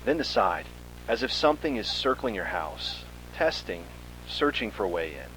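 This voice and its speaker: A man with a fairly low voice narrates a horror tale in the second person. His delivery is theatrical and full of suspense, swinging widely in intonation. A faint noisy hum sits behind the voice. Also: very animated, moderate pace, a bit bright. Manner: dramatic, suspenseful storytelling.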